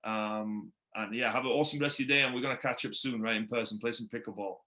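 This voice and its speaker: A man's voice: medium pitch, steady tone, fast talker, sharp tone. A man with a Welsh accent, whose first language is Welsh, hosting a podcast interview in a laid-back, chummy way and laughing often. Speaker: podcast host. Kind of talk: casual interview chat. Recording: roomy echo.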